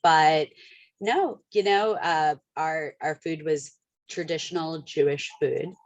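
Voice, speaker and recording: Woman, very low pitch, warm chatty storyteller on a webinar video call, dry room sound. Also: takes it slowly, no echo. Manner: chatty, storytelling, laughs easily. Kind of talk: webinar conversation.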